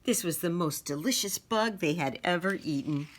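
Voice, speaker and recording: A woman teaching young children, reading aloud and sounding out letters and words deliberately. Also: fairly low pitch, a little hiss or hum. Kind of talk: storybook read-aloud and phonics lesson.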